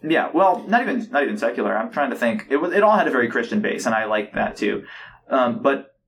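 A young man hosts a casual podcast conversation in a mid-pitched voice. He speaks with enthusiasm and often feels his way through a sentence. Faint noise sits behind him. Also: very animated, fast, a bit bright. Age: young adult. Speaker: podcast host. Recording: noticeable background noise.

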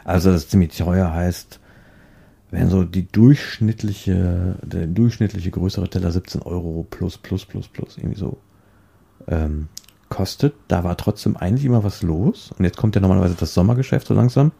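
A man chatting casually in a rambling, halting way, often repeating words as he searches for the next one. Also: low-pitched, gravelly, mellow, husky.

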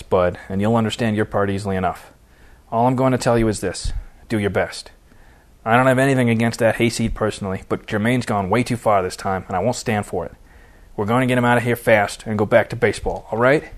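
A man's voice: lowish voice, steady tone, fast talker, close to an everyday voice. A man voicing an angry, sarcastic and stubborn baseball team manager in an audiobook, mocking as he barks orders. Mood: terse, resentful. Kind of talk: audiobook character dialogue.

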